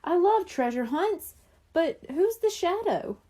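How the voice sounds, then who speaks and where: A woman's voice: very high voice, big pitch swings, slow pace, warm tone, pitched above the performer's own voice, full character voice. A woman reading the part of a curious, sensible young girl in a children's audiobook. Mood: excited.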